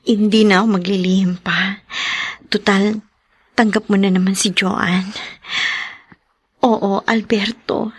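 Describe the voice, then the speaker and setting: A Filipino woman playing a tender, grateful woman in love in an audio drama, with a breathy, husky voice. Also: mid-range voice, flat delivery, slow pace, long pauses, dry recording.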